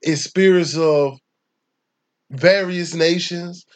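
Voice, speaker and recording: A man's voice: a little high, takes it slowly. Profane Atlanta man with a raised voice. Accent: Southern US (Atlanta). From Atlanta, Georgia, US. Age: young adult. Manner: profane, rambling, emphatic. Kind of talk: casual conversation.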